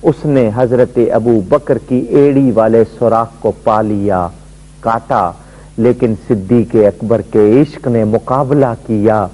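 A middle-aged man and religious scholar giving a devotional lecture on a television studio set, telling a story emphatically with his voice swooping high and low. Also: mellow, rough recording.

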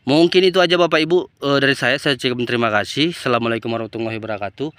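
A man's voice: medium pitch, rapid-fire, fairly bright tone, clean tone, no echo, studio quality. A man, hosting a casual farming vlog outdoors and hesitantly addressing viewers. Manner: hesitant, repeatedly addressing the audience. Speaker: farming channel host. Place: outdoors in a chili field. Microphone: phone at arm's length.

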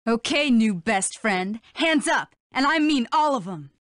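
An actress playing a hot-tempered fox police inspector in a video game, defiant and threatening. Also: female voice, a little high, animated, a little slow, fairly bright tone, no echo, studio quality.

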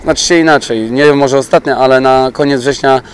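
A man's voice: medium pitch, steady tone, fairly quick. A man in his thirties from Poland, a youth sports coach giving plain-spoken, reflective interview answers. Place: open-air speedway stadium pit area. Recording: outdoor pit-lane noise behind voice.

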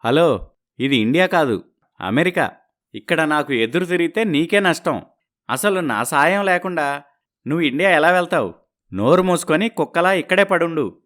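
An actor plays an arrogant, scheming husband in a dramatized moral story. The voice sits at a middle pitch. He boasts, mocks and threatens with a sneering, careless superiority. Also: animated, normal speed, pauses often, fairly bright tone, close to an everyday voice. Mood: threatening. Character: arrogant, scheming, mocking husband living in America.

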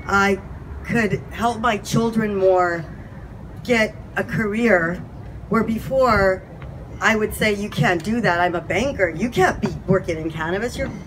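A woman's voice: mid-range voice, background noise. A woman in her fifties speaking candidly and with passion into a handheld mic to a crowd at an outdoor event. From California. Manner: candid, impassioned, self-deprecating. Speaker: community speaker. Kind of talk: informal speech to a crowd. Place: outdoor patio event, crowd standing around.

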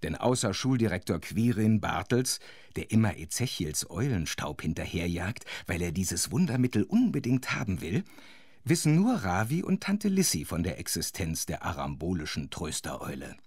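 A male narrator of a children's audio story. His low, husky voice is very animated and playful, sharing conspiratorial asides, recorded dry and close. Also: moderate pace, dry recording.

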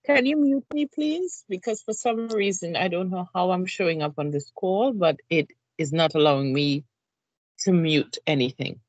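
Woman, lowish voice, polite, video call participant. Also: moderate pace, dry recording. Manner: polite, asking for help. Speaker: study group participant.

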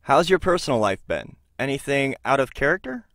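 A counselor in an audio drama played by a man, with a mid-pitched voice recorded very dry. He is patient and probing, halting as he picks his words. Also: sing-song, fairly bright tone, dry recording. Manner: halting, questioning.

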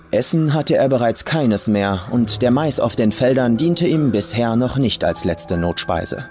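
A man's voice: medium pitch, a little mellow. A man reading a horror story as a dramatic scripted narration, like a professional audiobook narrator. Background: faint music and atmospheric sounds.

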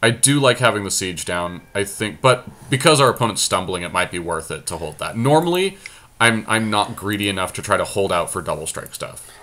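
Low-voiced man in casual game commentary. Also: animated, a little fast, bright, forceful tone, slight background noise. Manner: informal, deliberating, talks in short phrases. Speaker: podcast host.

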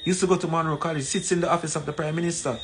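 Jamaican guy talking slow n emphatic to his phone, repeats himself, bit of room noise behind. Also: fairly high pitch, steady tone. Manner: deliberate, emphatic, repeats phrases. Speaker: community leader. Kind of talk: impassioned address to camera. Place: small plain room indoors. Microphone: phone at arm's length. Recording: noisy room, ambient background sound.

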